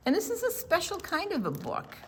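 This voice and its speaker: An older woman reading aloud and explaining things to young children in a deliberate, teacherly, expressive way. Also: very high voice, a little hiss or hum. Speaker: children's storytime presenter.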